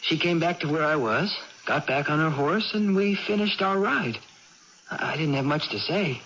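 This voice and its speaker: An actress playing an earnest, excitable young person with an emphatic, awed way of speaking in a radio drama. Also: female voice, sits low, moderate pace. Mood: amazed recounting. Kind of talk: 1980s radio drama acting. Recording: muffled, noisy old radio broadcast.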